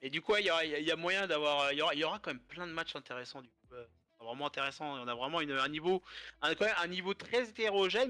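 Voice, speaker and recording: A man casting a live esports match, stuffy-nosed and hoarse from a cold, joking as he goes. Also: on the high side, pushed and bright. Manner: joking, rambling casual commentary. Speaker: esports caster.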